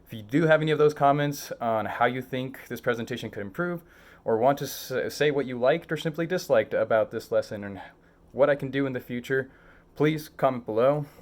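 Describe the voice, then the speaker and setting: A man's voice: medium pitch, fairly quick. A young man from Mexico whose first language is Spanish, earnestly introducing an online lesson as its teacher, with many small fillers and self-corrections.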